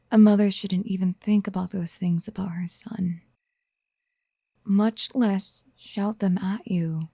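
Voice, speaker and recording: A woman acting a doting, possessive motherly figure in a soft-spoken ASMR roleplay, soothing with breathy half-whispers. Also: mid-range voice, no echo, exaggerated voice. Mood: protective indignation.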